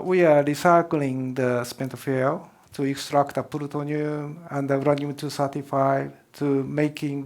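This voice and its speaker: A man with a Japanese accent, a professor answering seminar questions in halting English and repeating words for emphasis. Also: a little high, gravelly. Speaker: professor, expert speaker.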